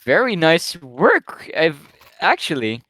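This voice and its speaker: High-voiced man, excitable game caster. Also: takes it slowly, no echo. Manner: excitable, rambling, filler-heavy play-by-play. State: slightly croaky voice. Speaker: esports caster. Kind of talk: live play-by-play game commentary.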